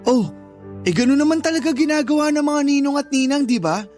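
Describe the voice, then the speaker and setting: An actor playing a proud, insecure working-class young husband who argues defensively in a radio drama. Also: male voice, very high-pitched, a little fast, a little mellow. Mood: breezy, defensive. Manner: dismissive, defensive, argumentative. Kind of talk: Tagalog radio drama acting. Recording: music bed behind the voice.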